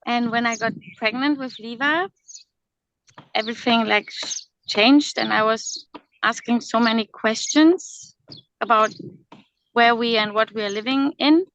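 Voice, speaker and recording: A woman's voice: fairly high pitch, measured pace, a bit bright, dull audio. A woman in her thirties, born in Slovakia and raised in Germany, speaking English as an on a video call, hesitant and laughing often. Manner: non-native phrasing, hesitant, laughs often. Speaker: guest, worldschooling mother. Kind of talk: casual video-call chat.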